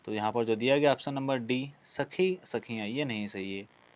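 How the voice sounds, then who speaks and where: A man's voice: medium pitch, moderate pace, no room sound. A man teaching an online exam-prep class, reading out quiz questions and explaining the answers, often repeating key words. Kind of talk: online lesson, working through quiz questions.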